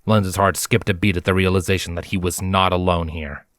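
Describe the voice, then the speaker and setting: A man with a low voice narrates fiction from a script. He reads as a storyteller, and the recording is dry, with very little room sound. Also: steady tone, brisk, fairly bright tone, no room sound.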